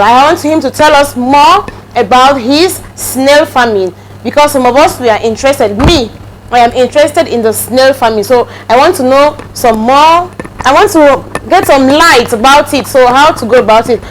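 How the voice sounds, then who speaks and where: A woman's voice: sits high, a little hiss or hum, rough recording. A woman with a Cameroonian accent hosting a casual interview, exclamatory and often addressing her audience directly. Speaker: talk show host.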